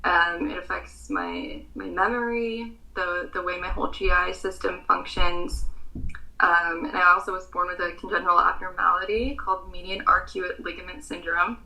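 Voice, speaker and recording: Young american woman pageant guest, bright tense voice with vocal fry, talks earnest with ums. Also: on the low side, normal speed, rough recording. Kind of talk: casual interview answers.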